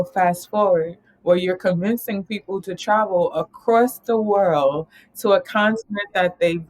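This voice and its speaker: A woman's voice: mid-range voice, rough recording. A woman from New York talking casually as an interview guest, rambling and laughing often. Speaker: guest, travel company founder.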